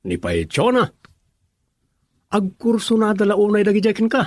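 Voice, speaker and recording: Gossipy male sidekick for radio drama, actor, higher voice, very lively delivery, sensible advice-giver. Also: moderate pace, dry recording, clean studio sound, exaggerated voice. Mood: advising, exasperated. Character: gossipy, levelheaded male buddy giving advice. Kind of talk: Ilocano radio drama acting.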